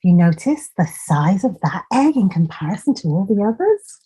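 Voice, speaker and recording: A woman storyteller reading a children's story in a deliberate, expressive manner, with asides and questions to young listeners. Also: mid-range voice, breathy edge.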